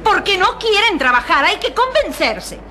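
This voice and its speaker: A woman's voice: very high-pitched, big pitch swings, normal speed, sharp tone, heavily acted. An actress playing an indignant, contemptuous woman complaining in a radio drama.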